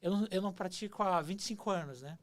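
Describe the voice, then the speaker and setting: A man's voice: sits high, fast, background noise. Hesitant, nostalgic man telling stories. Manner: hesitant, repeats words and restarts often; earnest and nostalgic. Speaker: podcast guest. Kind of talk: reflective storytelling.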